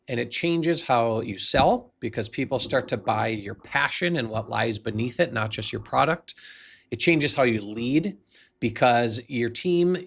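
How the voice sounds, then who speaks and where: An American man in his forties with a noticeably creaky, gravelly voice at a medium pitch. He speaks as a coach sharing a personal story, earnest and conversational, leaning on key words for emphasis. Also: a bit bright. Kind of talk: motivational personal storytelling to camera.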